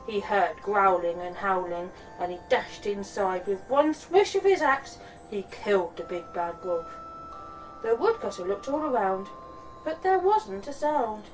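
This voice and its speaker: Animated woman reading a bedtime story. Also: mid-range voice, distant mic, faint music behind, rough recording. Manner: expressive, deliberate, dramatic storytelling. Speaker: children's storyteller and host. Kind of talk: scripted bedtime story narration.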